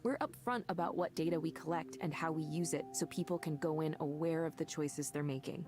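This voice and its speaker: A woman's voice: deep voice, fairly quick, close to an everyday voice. An actress voicing a confident woman manager at a tech company, reassuring and corporate in tone. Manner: reassuring, corporate, slightly defensive. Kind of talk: video game voice acting. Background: game music.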